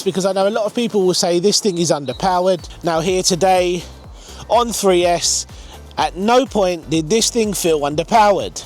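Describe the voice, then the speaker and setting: A man presenting a casual, enthusiastic product review, talking chattily to his viewers as the host. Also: high voice. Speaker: RC car reviewer and video host.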